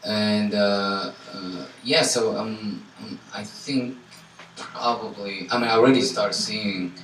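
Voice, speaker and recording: A man from Tunisia, a guest musician answering audience questions in a relaxed way, full of "you know" and fillers. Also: on the low side, slow. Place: indoor salon event. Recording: echoey room from far off, faint noise.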